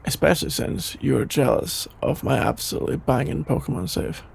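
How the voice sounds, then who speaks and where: A man's voice: medium pitch, gravelly. A young man playing a shy, sleepy, easily embarrassed best friend in an intimate ASMR roleplay, speaking hesitantly and trailing off. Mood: teasing, sleepy. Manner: hesitant, halting, trailing off with sighs and nervous chuckles. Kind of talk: intimate ASMR audio roleplay, improvised-sounding dialogue.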